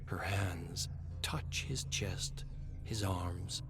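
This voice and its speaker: Man, lowish voice, unhurried dramatic narration, solemn, measured, background music. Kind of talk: dramatic scripted story narration.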